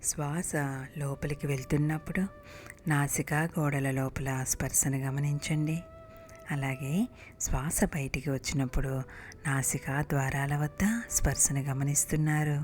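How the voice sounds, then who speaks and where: Female meditation guide, notably low pitch, hushed breathy soothing delivery over a music bed. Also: steady tone, unhurried.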